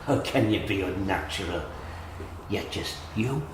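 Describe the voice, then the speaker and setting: An actor playing a gentle, philosophical old grandfather with a Geordie accent, plain-spoken and reassuring, in a radio drama. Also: sits low, expressive, measured pace. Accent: Geordie, north-east England. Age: older. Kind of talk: naturalistic radio drama acting. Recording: roomy sound with faint background noise.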